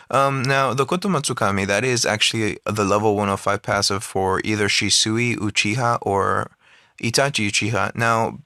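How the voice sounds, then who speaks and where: A man's voice: lowish voice, sharp tone, dry recording, studio quality. Rambling man recording gameplay commentary on a phone. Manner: rambling, many fillers and restarts. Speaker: mobile game player.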